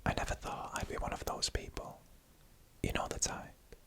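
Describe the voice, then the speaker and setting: A narrator telling scripted first-person eerie stories in a breathy, unvoiced ASMR whisper, in short hushed phrases with pauses.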